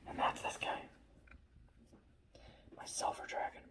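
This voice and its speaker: A man whispering softly through an ASMR session, playfully stuttering and repeating words. His breathy whisper sits back from the microphone in a roomy space that lets it ring. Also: measured pace, far from the mic. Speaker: ASMR channel host. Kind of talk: whispered ASMR lore reading and chat.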